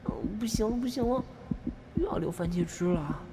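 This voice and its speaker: A woman's voice: fairly low pitch, animated, moderate pace, noisy background. A woman voicing a shy, lovestruck young tomato in an audio drama, with a bashful, gushing manner. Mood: excited, swooning. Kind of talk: Chinese audio drama acting. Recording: music behind the voice.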